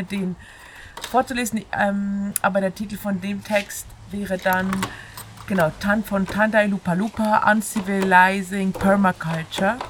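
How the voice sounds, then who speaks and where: Lower-voiced woman over clear noise. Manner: informal, hesitant, mixes English terms into German. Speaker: presenter. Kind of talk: casual spoken text recommendation.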